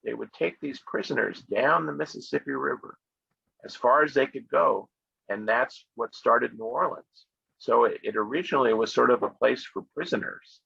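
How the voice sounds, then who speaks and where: A middle-aged American man talks through places to visit in a relaxed, friendly way. His voice has a gravelly edge and a husky, bright tone. The sound is dry and a little dull, like audio from a video call. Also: medium pitch, moderate pace, dry recording. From United States. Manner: relaxed and friendly, speaks clearly with fillers and laughs. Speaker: guest speaker at an online English conversation club. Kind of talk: casual presentation on travel spots.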